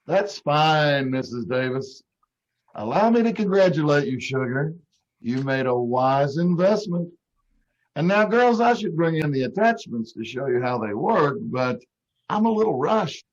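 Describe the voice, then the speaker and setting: A man's voice: a little high, lively intonation, a little slow. Man acting a slick flirty salesman, muffled sound. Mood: smooth, hurried. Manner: slick, pet-name-dropping sweet talk. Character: smooth, flirtatious, brash door-to-door vacuum salesman. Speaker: amateur radio troupe actor. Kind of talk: old time radio comedy acting. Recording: dull, lo-fi video call audio.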